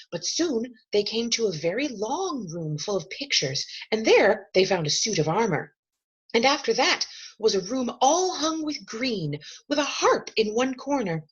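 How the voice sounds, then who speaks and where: A woman reading a children's novel aloud as expressive storybook narration, with a husky voice and measured, dramatic emphasis. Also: a little high, highs cut off.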